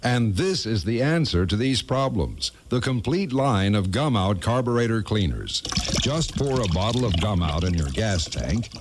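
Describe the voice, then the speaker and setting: A man's voice: on the low side, animated, moderate pace. An American man reading a scripted radio commercial as an announcer, in a very noisy, hissy recording.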